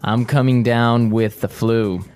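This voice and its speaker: A man co-hosting an English lesson podcast, chatting and repeating phrases slowly and clearly for learners. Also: mid-range voice, steady tone, darkish tone, soft background music. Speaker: podcast co-host.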